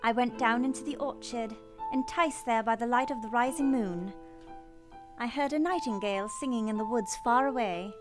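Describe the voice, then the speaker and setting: A woman's voice: a little high, moderate pace, natural voice. A woman playing an earnest, proud young governess in love, speaking formal literary lines in a radio play over music. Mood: wistful narration. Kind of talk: radio play acting with first-person narration. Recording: music behind the voice.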